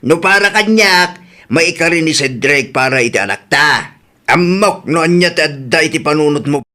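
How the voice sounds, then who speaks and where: A male actor plays an outspoken, earnest man in a family radio drama. His voice sits a little high. He speaks with an Ilocano Filipino accent. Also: expressive, normal speed, bright, forceful tone. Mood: argumentative.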